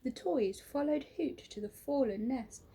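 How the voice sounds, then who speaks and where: Young woman gently reading a picture book. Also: high-pitched, unhurried, husky. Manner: gentle, expressive. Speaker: teacher reading aloud. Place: small room indoors.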